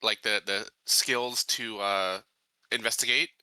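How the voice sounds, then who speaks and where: A man playing a tabletop roleplaying game, chatting casually and haltingly as he works out his character's actions. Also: on the low side, fairly even intonation, sharp tone, clear, resonant tone, no room sound, rough recording.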